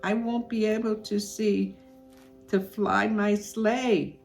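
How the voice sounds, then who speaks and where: Kindly Father Christmas figure played by a woman, high-pitched, drawn-out exclamations, storybook style, music behind. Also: fairly even intonation, gravelly, darkish tone. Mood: concerned, promising.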